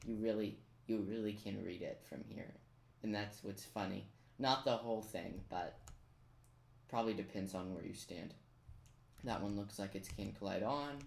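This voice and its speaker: A man's voice: on the low side, moderate pace, vocal fry. A man giving a casual, step-by-step game walkthrough with playful asides. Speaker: gamer giving a tutorial. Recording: roomy echo, noise, keyboard clicking. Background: computer keyboard typing and clicks.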